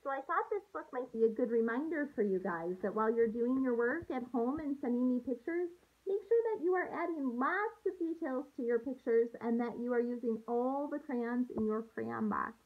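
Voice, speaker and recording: A woman in her thirties, a teacher reading aloud to young children with an expressive, sing-song delivery, recorded on a handheld-distance device at home. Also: fairly high pitch, moderate pace, husky, phone-quality sound. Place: small room at home, indoors. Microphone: phone or laptop at arm's length.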